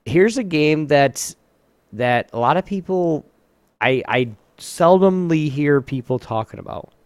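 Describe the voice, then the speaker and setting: A man's voice: on the high side, lively intonation, unhurried, smooth, no room sound. Casual man hosting trivia, close dry sound. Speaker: host of a trivia game on a live show. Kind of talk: casual chat, reading trivia aloud.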